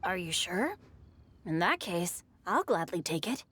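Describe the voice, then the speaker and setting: A woman's voice: lowish voice, very animated, measured pace, a bit bright, slightly rough, heavily acted. A woman voicing a breezy, youthful poet-bard in a video game, with a lilting, courteous, teasing manner. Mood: playful, lyrical.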